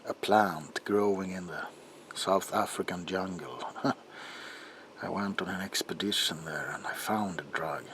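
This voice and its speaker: A man playing a troubled, desperate doctor in an ASMR roleplay monologue, speaking haltingly in a hushed voice that often drops toward a whisper. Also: low voice. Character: troubled doctor protesting innocence, desperate and haunted. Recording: audible background noise.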